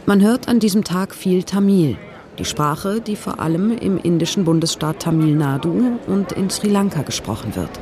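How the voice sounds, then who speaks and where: A narrator reading a scripted documentary text in an even, informative way. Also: medium pitch, normal speed, a crowd in the background.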